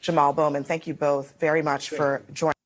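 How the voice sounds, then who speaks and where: A woman moderates a broadcast debate in a very low voice. Her delivery is formal and polite. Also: flat delivery, fairly quick.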